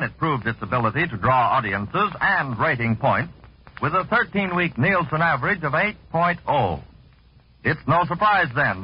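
A man's voice: fairly high pitch, breathy edge. A man delivering scripted 1940s promotional radio narration in a polished, salesman-like manner. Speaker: radio announcer. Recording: dull vintage radio recording.

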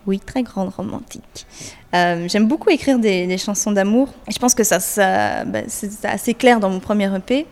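A woman's voice: mid-range voice, moderate pace, darkish tone, in a large hall. A young woman singer-songwriter answering interview questions in a hesitant way, with many fillers and restarts. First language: French.

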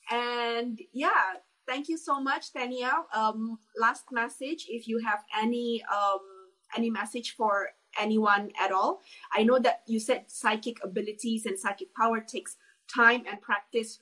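A woman hosts a casual live stream, her voice sitting a little high. She gushes warmly over her guest and fills her pauses with many uh sounds. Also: poor audio. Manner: gushing, many uh fillers. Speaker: host.

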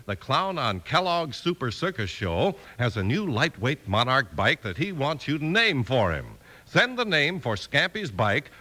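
A man's voice: a little high, sharp tone. A man with an American accent announcing and narrating in 1950s radio style, selling to young listeners.

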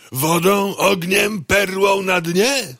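Sharp, sneering, gossipy postman. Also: high-pitched, extremely slow, no echo, clean studio sound, exaggerated voice. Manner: sneering, teasing, theatrical. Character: crude, mocking, gossipy male postman in insect world. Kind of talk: comic radio play acting.

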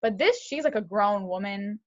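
Chatty young woman, dull lo-fi sound. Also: medium pitch. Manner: fast, animated, heavy use of "like". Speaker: podcast co-host. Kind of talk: casual chat.

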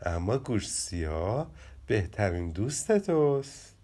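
Man reading storybook mother rabbit, soft and caring, soothing tone. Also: fairly low pitch, big pitch swings. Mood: gentle.

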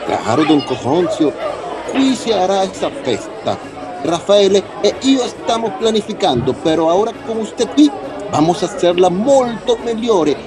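A man's voice: sits high, sing-song, a little slow. A man playing an eager, effusive Italian son-in-law in a radio soap opera, with an Italian accent and theatrical, language-mixing speech. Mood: romantic, eager. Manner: mixes Italian words into Spanish, theatrical. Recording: music and crowd behind.

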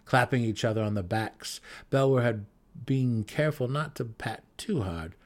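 A man's voice: mid-range voice, normal speed. A man reading a scripted fantasy story as a dramatic audiobook narrator.

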